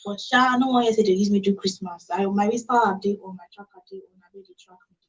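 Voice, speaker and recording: A woman's voice: mid-range voice, steady tone, quick pace, a little mellow, dull audio, close to an everyday voice. A woman with a Nigerian accent playing a street-wise young working woman in an audio drama. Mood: wary. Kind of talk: audio drama dialogue in Pidgin. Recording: distant mic, faint hiss and clicks.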